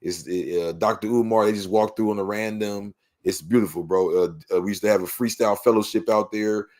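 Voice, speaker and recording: A man's voice: fairly low pitch, fairly even intonation, a little fast, a little mellow. A man from Los Angeles with a local accent, talking in a casual, slangy way as a podcast guest telling his story. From Los Angeles, United States. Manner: slangy, peppered with "you know what I mean".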